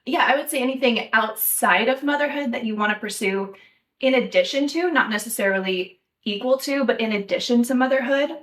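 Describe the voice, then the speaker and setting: A young woman from the United States hosting a podcast, talking in a measured, thoughtful way with light laughs. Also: fairly high pitch, fairly bright tone, recorded from a distance. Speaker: podcast host. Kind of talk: casual podcast chat.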